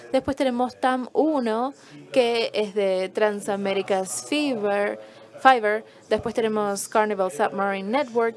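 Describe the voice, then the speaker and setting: A woman's voice: mid-range voice, a little mellow. A woman interpreting a conference talk as it is given, explaining step by step and quizzing the audience.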